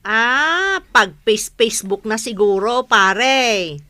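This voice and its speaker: Busy neighbour mother, fairly high, very bright, protesting, radio comedy drama. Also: expressive, takes it slowly, no echo, full character voice. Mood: puzzled. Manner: questioning, protesting.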